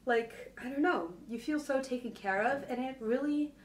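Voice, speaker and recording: A woman with a low voice giving casual advice in a video, hesitant and full of fillers. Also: normal speed, a little hiss or hum. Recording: video replayed into a room mic, echoey and distant.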